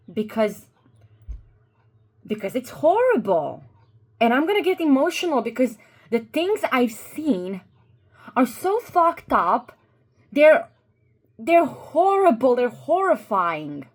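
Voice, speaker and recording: Slow-talking Croatian woman, halting and earnest. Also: a little high, big pitch swings. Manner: halting, many pauses and restarts, earnest. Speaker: YouTuber. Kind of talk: commentary vlog. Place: at a desk with two monitors.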